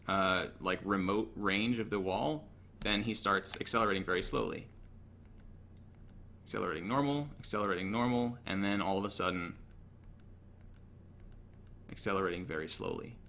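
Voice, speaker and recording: A man's voice: lowish voice, pushed and bright, slight background noise. A man giving an informal, technical tutorial over gameplay in a matter-of-fact, analytical way. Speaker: gaming tutorial narrator.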